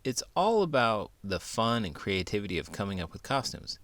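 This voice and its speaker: Animated low-voiced man telling children's stories. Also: normal speed, no room sound. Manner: expressive, playful. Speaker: host of a children's read-aloud channel. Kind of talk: lively children's storytelling and chat.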